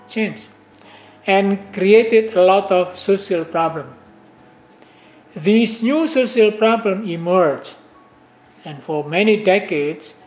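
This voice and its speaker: Slow, halting professor. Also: male voice, sits high, a little mellow, echoey room. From Indonesia. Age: older. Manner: slow, halting delivery with many fillers and restarts. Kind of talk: academic lecture.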